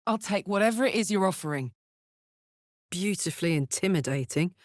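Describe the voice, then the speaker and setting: A woman voicing a tough, playful, warm-hearted tiefling warrior woman in a video game, talking bluntly and colloquially with plenty of swearing. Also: lowish voice, expressive, a little fast, no echo, clean studio sound, natural voice. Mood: casual, wry. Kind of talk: video game character voice lines.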